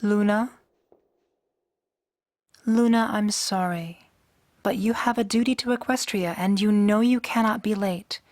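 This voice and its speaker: A woman acting a stern, weary, duty-bound pony princess in a radio drama, speaking formally and gravely. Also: medium pitch, a little slow, long pauses, darkish tone, no room sound, studio quality, natural voice. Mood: firm, dismissive.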